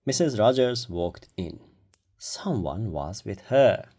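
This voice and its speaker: Slow, expressive storybook reader. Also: mid-range voice. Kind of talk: children's storybook read-aloud.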